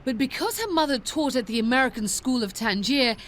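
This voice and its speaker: A woman's voice: high-pitched, lively intonation, brisk, a bit bright, slight background noise. A woman reporter reading scripted narration for a news profile.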